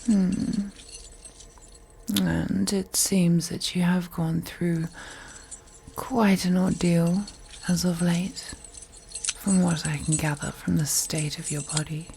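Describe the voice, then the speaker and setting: A woman voicing a wealthy, possessive vampire in an audio roleplay, breathy and often whispering, with a teasing, haughty and condescending manner. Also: on the low side, fairly even intonation, slow, long pauses, warm tone, a little hiss or hum. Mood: stern, condescending. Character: wealthy, seductive, possessive female vampire toying with captive. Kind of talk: scripted audio roleplay monologue.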